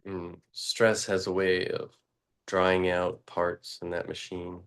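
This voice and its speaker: Slow, flat, low-voiced man guiding meditation. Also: clean tone. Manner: slow, halting, with long pauses and fillers. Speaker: meditation teacher. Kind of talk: guided meditation.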